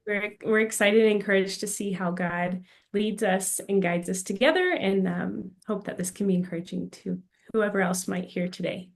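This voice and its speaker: Creaky soft-spoken woman. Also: mid-range voice, fairly even intonation, normal speed, hardly any pauses. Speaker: guest.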